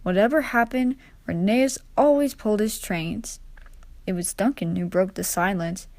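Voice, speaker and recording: Lady reading a kids story aloud, normal pitch, dry close sound. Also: moderate pace, a little mellow, dry recording. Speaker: storybook narrator. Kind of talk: scripted children's story narration.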